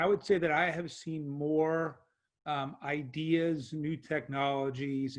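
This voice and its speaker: Methodical male investor on a call. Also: medium pitch. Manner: organized, lays out numbered points. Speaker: venture capital investor. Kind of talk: panel discussion on a video call.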